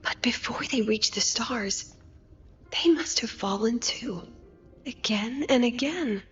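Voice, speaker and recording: A woman voicing a weary, gentle, brooding scientist in a video game, soft, breathy and pensive. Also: on the high side, takes it slowly, dull audio. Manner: subdued, pensive. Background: game music.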